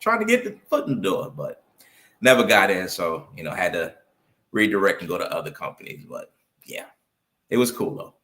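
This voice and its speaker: A man's voice: deep voice, sing-song, normal speed, pushed and bright. A man in his fifties from New York talking conversationally as an interview guest, repeating points for emphasis as he tells his story. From Brooklyn, New York. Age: 50s. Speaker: interview guest, accountant and business owner.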